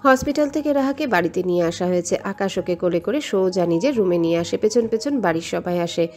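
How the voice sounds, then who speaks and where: A woman's voice: on the low side, expressive, a little fast, a little mellow. A woman reading a scripted romantic story as its narrator. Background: background music.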